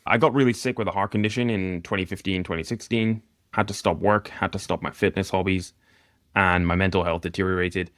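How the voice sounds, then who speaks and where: A man in his thirties from Northeast Scotland, with a mixed mid-Atlantic accent with a light Scottish edge, talking casually as a podcast guest, self-deprecating and quick to laugh. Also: low-pitched, steady tone, fairly bright tone, dry recording, clean studio sound. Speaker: guest mentor.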